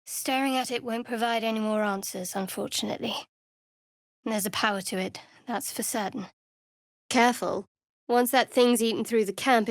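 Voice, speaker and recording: A woman playing a guarded young half-elf cleric in a fantasy video game, with a dry, sardonic, measured delivery. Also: a little high, no echo, lightly acted. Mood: guarded, observant.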